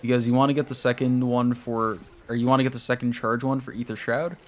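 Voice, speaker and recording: A man's voice: mid-range voice, level tone, fast. Chatty young man streaming a game. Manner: rambling, talks to chat while playing. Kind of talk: casual live-stream chat with viewers.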